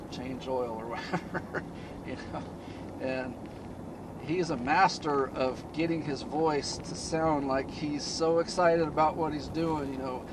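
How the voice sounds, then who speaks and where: Monotone man talking while driving. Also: background noise. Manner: monotone, low-key. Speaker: DIY car-repair channel host. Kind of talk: casual vlog talk while driving.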